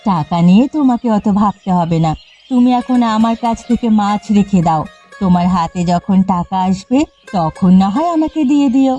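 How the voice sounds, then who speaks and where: Cartoon village elder sister, played by a woman: kind, firm fisherwoman, mellow tone, music underneath. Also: medium pitch, expressive, moderate pace, lightly acted. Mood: patient, generous. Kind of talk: Bengali cartoon dialogue. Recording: slightly muffled audio with background music. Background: background music.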